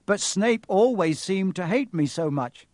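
A young boy from a storybook, voiced by a male narrator, raised in pitch and full of disbelief. He is urgent, earnest and questioning. The recording is close and dry. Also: animated, moderate pace, dry recording.

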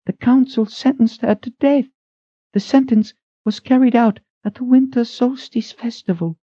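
Man doing a fragile high-voiced old cook lady, shaky and sad. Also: steady tone, moderate pace, mellow, no room sound. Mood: grieving. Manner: quavering, broken voice. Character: thin older woman, head cook, uneasy and grieving. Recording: dull lo-fi audio.

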